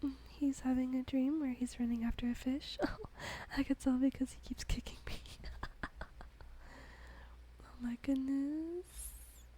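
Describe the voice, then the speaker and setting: Woman whispering asmr style, slow and soft, kinda high pitched, little giggles. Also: fairly even intonation, a little hiss or hum. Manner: slow, gentle reading. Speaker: ASMR host.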